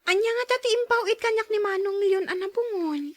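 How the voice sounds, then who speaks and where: A young child in an audio drama, trusting and innocent. The voice is extremely high and recorded very dry. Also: female voice, fairly quick, no room sound. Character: innocent young child running errands for money.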